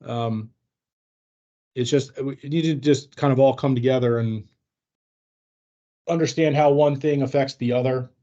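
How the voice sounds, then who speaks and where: A man with a mid-pitched voice leads an informal work training over an online call. He explains things haltingly and hedges as he goes. The audio is dull and lo-fi. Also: fairly even intonation, warm tone. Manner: hesitant, halting, hedging. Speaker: trainer leading an internal staff training. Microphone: video call microphone.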